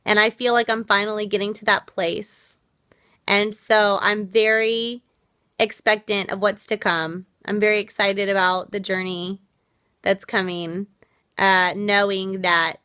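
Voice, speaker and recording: A woman's voice: medium pitch, little pitch movement, a little slow, pushed and bright, smooth, no echo, studio quality. A woman from the United States hosting a casual live stream, earnest and reflective as she answers viewer comments, her voice worn out. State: losing her voice. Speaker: livestream host.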